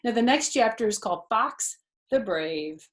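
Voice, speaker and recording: A woman's voice: fairly high pitch, expressive, unhurried. An older woman reading a children's storybook aloud expressively, with explanatory asides.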